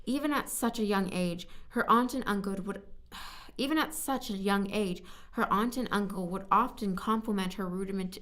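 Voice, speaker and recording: A woman recording scripted story narration as a voice actor, halting at times and repeating lines. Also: medium pitch, fairly bright tone. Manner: halting, stumbling over words and repeating takes.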